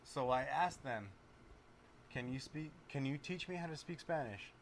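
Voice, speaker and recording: A man's voice: mid-range voice, normal speed, slight background noise. A man hosting a podcast, chatting casually and joking, often repeating himself. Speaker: podcast host. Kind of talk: casual chat.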